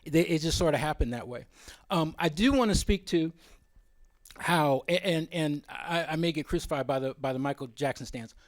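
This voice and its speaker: A man's voice: on the high side, normal speed. A man presenting at a symposium in an informal, opinionated way, often wandering into asides.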